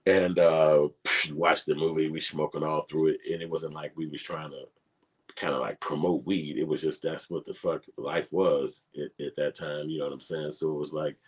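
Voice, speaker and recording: A man's voice: a bass voice, little pitch movement, moderate pace, slightly rough. A man, telling profane, laid-back stories in street slang. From Chicago. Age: 40s. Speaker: filmmaker vlogger. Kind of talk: casual storytelling.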